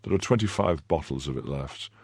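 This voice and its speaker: A man's voice: a bass voice, animated, brisk, a little mellow, breathy edge, no echo, clean studio sound, full character voice. A man voicing a poor, fearful innkeeper who pleads and fawns in a raised voice. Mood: pleading.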